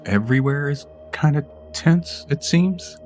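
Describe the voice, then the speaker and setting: A man's voice: on the high side, expressive, a little slow, a little mellow, faint music behind. A man playing a tabletop role-playing game, chatting casually in slangy, sweary language.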